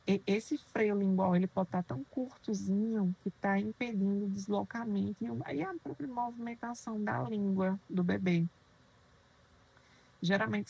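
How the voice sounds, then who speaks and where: A woman's voice: mid-range voice, warm tone, husky, poor audio. A woman lecturing on children's dentistry, explaining terms patiently and asking questions she then answers herself. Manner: didactic, poses questions and answers them, frequent "né?".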